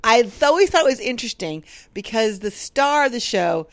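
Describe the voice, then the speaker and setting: An American woman chatting casually and comically as a co-host, with an animated, teasing, conversational manner. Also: on the high side, fairly quick, dry recording. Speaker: podcast co-host. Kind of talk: casual comedic chat.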